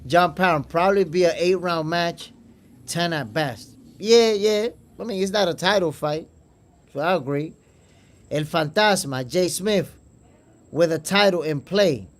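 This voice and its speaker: Dominican man, New York accent, boxing stream host, chatty, fairly high voice. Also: unhurried. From Dominican Republic. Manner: chatty, calls viewers "my brother", repeats words. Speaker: boxing podcast host reading live chat. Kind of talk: casual livestream commentary.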